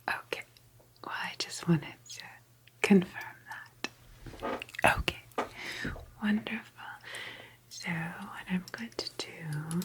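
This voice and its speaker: A woman playing a meticulous, prim sci-fi office agent in an ASMR roleplay, whispering breathily in an unhurried, hesitant, officious but polite manner. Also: on the low side, rough recording. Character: slow, meticulous, prim female time-travel office agent.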